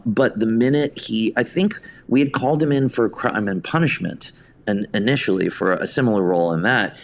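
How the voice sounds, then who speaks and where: A man's voice: fairly low pitch, moderate pace, creaky. A man speaking as an interview guest, telling casual anecdotes in an enthusiastic, effusive way. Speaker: podcast guest, theatre director.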